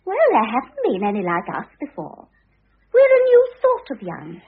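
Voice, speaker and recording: A woman's voice: a little high, huge pitch swings, moderate pace, husky, full character voice. An actress playing a vain, grasping young society wife in her twenties in a classic radio drama, breezy and flippant. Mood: self-justifying. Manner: breezy, flippant, self-pitying. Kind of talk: classic stage-style radio drama acting. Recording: muffled narrow-band old radio recording.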